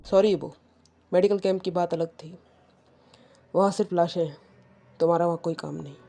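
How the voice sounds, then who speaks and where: Mellow-voiced woman dramatizing a story. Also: on the low side, fairly even intonation, normal speed, creaky. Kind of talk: dramatized audio story narration. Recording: music behind the voice.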